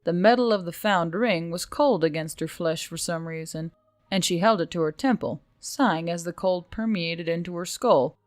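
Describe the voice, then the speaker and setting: A woman's voice: fairly low pitch, moderate pace, no room sound, studio quality. A woman reading scripted fantasy fiction as an audiobook narrator.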